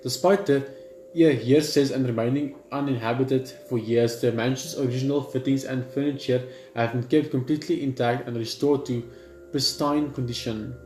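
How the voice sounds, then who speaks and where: A man hosting a gaming video reads on-screen story text aloud, haltingly, repeating words and adding casual asides. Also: medium pitch, steady tone, a little mellow, slight background noise. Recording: echoey room with faint game music. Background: game music.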